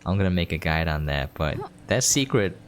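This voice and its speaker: Male game commentator, low register, conversational and informal, faint background noise. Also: expressive, moderate pace. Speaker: game reviewer and player, host. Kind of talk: casual gameplay commentary.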